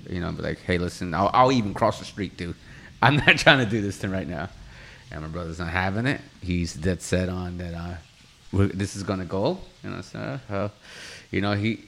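A man's voice: deep voice, vocal fry, slight background noise. A man from Mexico, Spanish his first language, with a slight lisp on th sounds, telling a story casually with fillers and chuckles. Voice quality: trouble with th sounds. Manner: laid-back storytelling with frequent fillers and chuckles. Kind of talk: casual chat.

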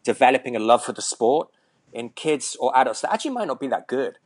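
A man's voice: medium pitch, sing-song, fast talker. A Welsh man, around his forties, chatting casually as an interview guest, joking and rambling with lots of fillers and restarts. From Wales. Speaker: tennis coach, guest.

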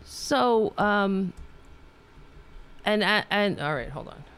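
A woman's voice: medium pitch, lively intonation, drawn-out words, fairly bright tone, faint music behind. A woman with a New York accent from Staten Island, hosting a political talk show in a rambling, profane monologue full of restarts. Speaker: podcast host.

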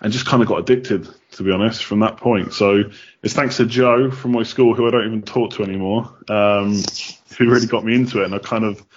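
A man's voice: fairly low pitch, steady tone, brisk, highs cut off, slight background noise. A man from the United Kingdom answering casually as a podcast guest, chatty with many ums.

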